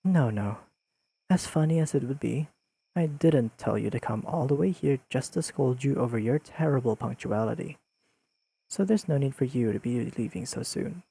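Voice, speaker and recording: A man playing a deadpan, pedantic but secretly fond young friend in an intimate audio roleplay, speaking in precise, dryly teasing sentences to the listener. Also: mid-range voice, moderate pace, creaky, breathy edge, rough recording. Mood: dry scolding. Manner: deadpan, precise, formal wording with dry teasing.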